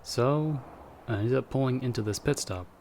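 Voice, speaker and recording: A man playing a dry, guarded but protective vampire hunter in an ASMR audio roleplay, speaking in a measured, wry way. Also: medium pitch, darkish tone, a little hiss or hum, natural voice. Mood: calm, explanatory. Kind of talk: ASMR audio roleplay, scripted monologue to listener.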